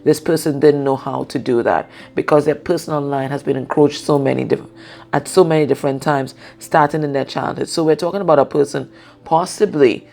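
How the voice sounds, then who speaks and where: A woman with a husky voice giving a casual, unscripted card reading, pausing and often checking in with the listener. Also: low-pitched, steady tone, darkish tone. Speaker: tarot and oracle card reader.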